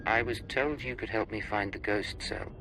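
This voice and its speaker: An actor playing a cool, confident secret agent in a video game, controlled and terse. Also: male voice, fairly low pitch, normal speed, noisy background, lightly acted. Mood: cool, assured.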